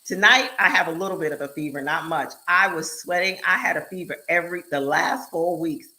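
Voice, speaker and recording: Bright, animated woman host. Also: on the low side, fairly quick, low-quality recording. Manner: animated, emphatic, speeds up in bursts. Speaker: show host and speaker. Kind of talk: casual chat on a live online show.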